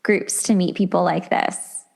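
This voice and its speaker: A woman's voice: lowish voice, fairly even intonation, unhurried, gravelly. A middle-aged woman hosting a podcast, talking casually and conversationally with an effusive, enthusiastic manner. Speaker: podcast host.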